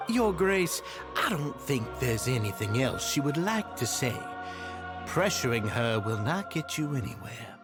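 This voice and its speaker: An actor with a Southern US accent playing an obsequious, manipulative society leader in a video game, elaborately polite and theatrical. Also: male voice, mid-range voice, very animated, unhurried. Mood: unctuous, slighted. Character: slick, obsequious, manipulative society leader feigning humble courtesy. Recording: game audio under loud background music.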